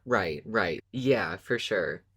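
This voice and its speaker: Woman podcast panelist, low register, informal and playful, casual conversational analysis. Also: lively intonation, slow, a bit bright, husky. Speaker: podcast panelist discussing a fiction show. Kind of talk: casual chat, fan analysis.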